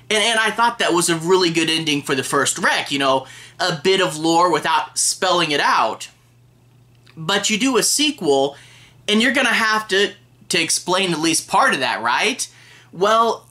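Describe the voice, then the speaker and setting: A man's voice: sits high, bright, forceful tone. A man in his thirties chatting enthusiastically and casually to camera as a film reviewer. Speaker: movie reviewer, host. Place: small room lined with DVD shelves.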